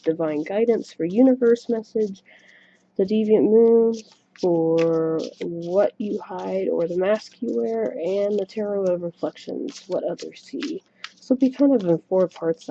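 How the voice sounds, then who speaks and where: A soft-spoken woman giving a tarot reading to camera at home, chatty and a little self-conscious, recorded on a webcam microphone. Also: on the low side, creaky, a little hiss or hum. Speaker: tarot reader. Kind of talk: casual solo reading to camera. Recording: dull lo-fi webcam mic recording.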